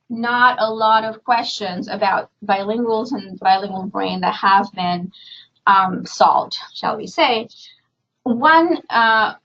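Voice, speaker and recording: A woman's voice: on the high side, fairly bright tone. Chatty woman lecturing, muffled recording. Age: middle-aged. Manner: chatty, self-correcting, frequent fillers. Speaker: university lecturer. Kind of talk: academic seminar talk. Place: seminar room with live audience.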